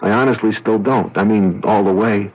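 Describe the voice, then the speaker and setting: An actor playing a blunt, agitated husband, a driven and haunted talent agent, in old-time radio drama style. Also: male voice, on the low side, fairly even intonation, normal speed, lightly acted. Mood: haunted, pleading. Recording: dull vintage radio recording.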